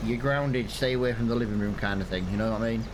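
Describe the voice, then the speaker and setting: A man's voice: on the low side, fast. A man, rambling casually and a bit sniffly while commenting on a farming game. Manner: rambling, chatty, lots of fillers and restarts. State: sniffly from hay fever. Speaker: gaming channel host. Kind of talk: casual let's-play commentary. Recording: loud background noise.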